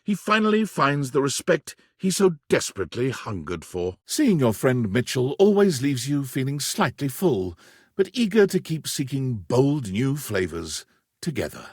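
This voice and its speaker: A man narrating a whimsical game as a wry, florid storyteller, with measured, theatrical delivery that relishes every flourish. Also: medium pitch, big pitch swings, slightly rough, no echo, clean studio sound. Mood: wry, grandiose. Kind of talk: scripted narration.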